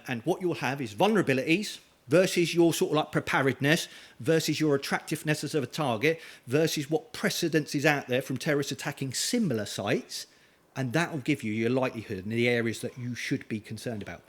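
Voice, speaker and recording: A middle-aged man giving an energetic conference talk, firing questions at the audience. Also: medium pitch. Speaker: conference speaker. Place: conference hall.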